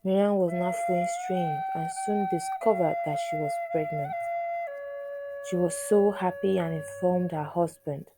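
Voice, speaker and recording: A woman storyteller reading a scripted folktale in measured, separate phrases. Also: fairly low pitch, steady tone. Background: flute music.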